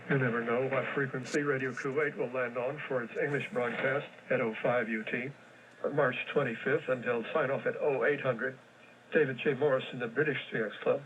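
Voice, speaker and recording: Bright-voiced man on a distant shortwave relay. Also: mid-range voice. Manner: brisk, matter-of-fact delivery with dry sarcasm. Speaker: shortwave radio news host. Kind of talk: reading listener reports and frequency listings. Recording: distant, faintly noisy shortwave radio relay.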